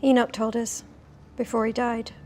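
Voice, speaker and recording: A woman's voice: on the high side, little pitch movement, moderate pace, a little mellow, close to an everyday voice. A woman in her thirties playing a tender, earnest scientist agent in a TV drama table read. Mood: solemn.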